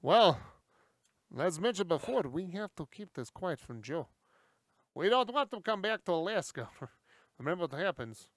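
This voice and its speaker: A man's voice: sits high, sing-song, normal speed, dry recording. A man co-hosting a podcast, playing through an improvised comedy skit in a playful, mock-serious way. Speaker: podcast co-host.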